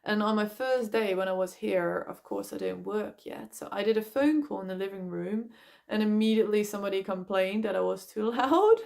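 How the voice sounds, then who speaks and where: A young woman with a loud voice, talking bluntly and casually about her life, swearing now and then and filling with "like". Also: medium pitch, fairly quick, vocal fry. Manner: frank, blunt, swears casually, many "like" fillers. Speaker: vlogger. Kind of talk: candid personal vlog talk.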